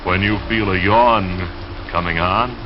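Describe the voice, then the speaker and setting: Slow, low-voiced vintage radio man. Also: background noise. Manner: solemn, measured, lyrical delivery. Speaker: radio announcer reading poetry and sponsor messages. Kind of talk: 1930s radio poetry reading and narration. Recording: dull lo-fi vintage radio recording. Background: dreamy music.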